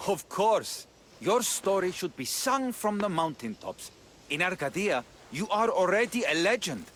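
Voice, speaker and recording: A man's voice: high voice, very animated, unhurried, a bit bright, a little hiss or hum, full character voice. A man playing a sly, greedy messenger in a game cutscene, oily, teasing and theatrical. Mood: oily, mocking. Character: sly, flattering, greedy male messenger turned traitor. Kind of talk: dramatic game cutscene acting.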